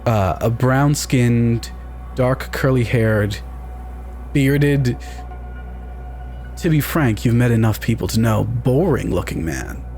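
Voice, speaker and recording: Guy narrating a tabletop game, midrange voice, deliberate descriptive phrases, music clearly behind him. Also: mid-range voice, animated, darkish tone. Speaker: tabletop game player, storyteller.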